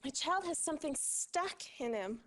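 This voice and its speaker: A woman's voice: sits high, expressive, normal speed, fairly bright tone. An actress playing a distressed woman pleading for help in a video game disaster cutscene. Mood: pained, worried. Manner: pleading, pained. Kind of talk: full-motion-video game acting.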